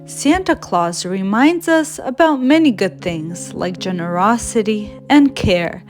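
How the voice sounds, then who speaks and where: A woman voicing a patient, loving mother bear who explains things gently in a storybook. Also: medium pitch, lively intonation, unhurried, faint music behind, natural voice.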